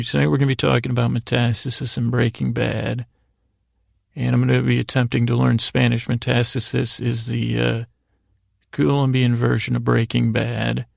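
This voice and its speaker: A man hosting a bedtime podcast in a rambling, halting monologue, soothing and gently self-deprecating, with a gravelly voice. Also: mid-range voice, no room sound, clean studio sound. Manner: meandering, halting, frequently derailing his own sentences. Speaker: podcast host. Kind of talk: rambling bedtime monologue to help listeners fall asleep.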